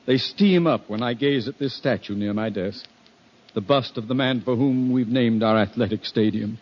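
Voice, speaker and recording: A man's voice: medium pitch, moderate pace, close to an everyday voice. A man playing a pompous, blustering middle-aged school principal in orotund 1950s radio comedy style. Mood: mournful, bombastic. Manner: pompous, orotund, exasperated delivery. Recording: muffled, noisy 1950 radio recording.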